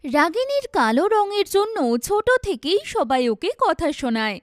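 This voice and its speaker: A woman's voice: extremely high pitch, sing-song, normal speed, no room sound, studio quality. A woman giving scripted narration for a cartoon story.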